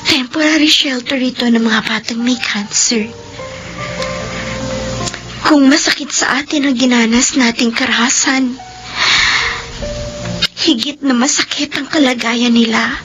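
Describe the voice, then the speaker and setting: A woman's voice: fairly high pitch, fairly even intonation, measured pace, long pauses, over music, lightly acted, low-quality recording. An actress playing an earnest, wounded young woman who cares for sick children, speaking solemnly in a Filipino radio drama.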